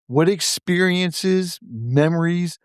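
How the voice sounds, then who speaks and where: A man's voice: fairly high pitch, slow, smooth, dry recording, clean studio sound. A man hosting a podcast interview, eager and enthusiastic with his guest, then reflective in his closing words. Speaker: podcast host.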